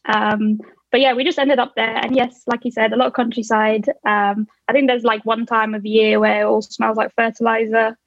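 A woman's voice: fairly high pitch, little pitch movement, normal speed, no echo. A young woman guest in a casual chat, wry and a little rambling. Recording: dry muffled lo-fi.

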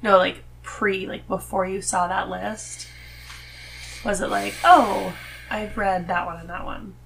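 A woman's voice: mid-range voice, steady tone, unhurried, creaky, fairly bright tone, a little hiss or hum, low-quality recording. A woman as a podcast guest, chatting casually and telling her views in an emphatic, informal way. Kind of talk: casual conversational chat.

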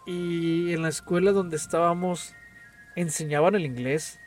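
Brief: young Mexican man, Mexican accent, higher-pitched, profane street slang, faint music underneath. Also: fairly even intonation, a little slow. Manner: slangy, swears a lot, says "güey" constantly. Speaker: podcast co-host. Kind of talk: casual chat.

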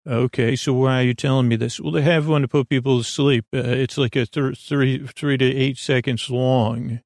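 Male sleep-podcast host, heavy vocal fry, dry close sound, wandering and halting delivery that loops back on itself. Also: medium pitch, fairly even intonation, a little mellow, no echo. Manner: meandering, halting, repeats and trails off. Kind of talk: rambling bedtime monologue.

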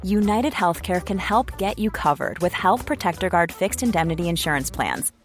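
A woman's voice: lowish voice, lively intonation, moderate pace, faint music behind. A woman doing a scripted voice-over read for an advertisement.

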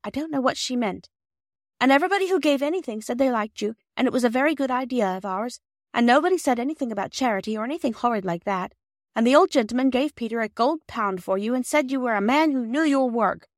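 A woman's voice: a little high, expressive, quick pace, no echo, studio quality, voice pushed up, lightly acted. A woman voicing an earnest, eager, well-spoken young girl in a storybook, talking in breathless runs. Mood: earnest, pleading.

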